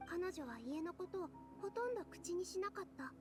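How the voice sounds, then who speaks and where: Game role: earnest, gentle young female dancer, very high voice, quick delivery, over loud music. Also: sing-song. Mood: regretful. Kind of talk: Japanese video game dub acting.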